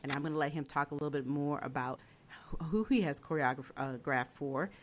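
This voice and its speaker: A woman's voice: deep voice, a little fast. A woman co-hosting a casual podcast, chatty and bubbly, laughing easily as she introduces and questions a guest. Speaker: podcast co-host.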